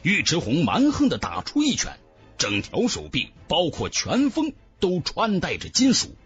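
A man's voice: a little high, wildly swooping pitch, measured pace, fairly bright tone, muffled recording. A man narrating a fantasy novel as a dramatic scripted audiobook. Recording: music bed under the voice.

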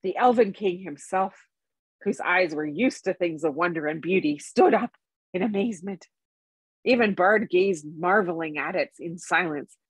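A woman's voice: fairly low pitch, lively intonation, moderate pace, breathy edge, no echo. A middle-aged woman narrating a bedtime story, reading aloud from a book. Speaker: story reader.

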